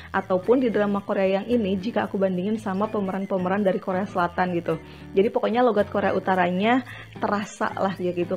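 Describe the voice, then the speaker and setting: A woman's voice: medium pitch, a little mellow. Chatty woman reviewing a drama. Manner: chatty, many fillers and restarts. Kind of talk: casual spoken review.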